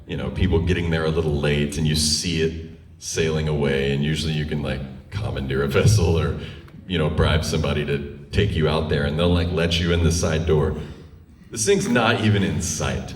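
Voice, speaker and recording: Deep-voiced man telling a story, roomy echo. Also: normal speed, slight background noise. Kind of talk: live comic personal storytelling. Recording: roomy echo, distant microphone.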